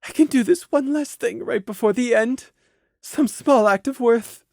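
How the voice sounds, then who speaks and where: A woman's voice: on the high side, moderate pace, vocal fry, a little mellow, no echo, clean studio sound, voice pushed up. A woman voicing the anguished, self-loathing thoughts of a unicorn mare in a storybook, tearful and despairing.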